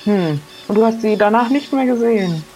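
A woman's voice: mid-range voice, animated, a little fast, mellow, soft background music, poor audio. A woman playing in an improvised role-play, speaking in casual in-character conversation. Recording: faint background hiss.

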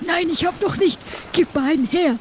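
A woman voicing an excited, animal-loving pirate crew member in an improvised audio play. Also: very high-pitched, normal speed, pitched above the performer's own voice. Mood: excited delight. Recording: faint background noise.

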